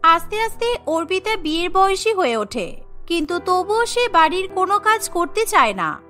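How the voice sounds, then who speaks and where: Lady narrating a cartoon tale, real high n bright, faint music under. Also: very animated, moderate pace. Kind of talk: scripted cartoon story narration.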